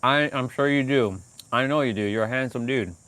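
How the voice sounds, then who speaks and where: A man's voice: medium pitch, brisk, fairly bright tone, slight background noise. A man hosting a casual livestream, rambling with many restarts and fillers and laughing often. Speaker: livestream host.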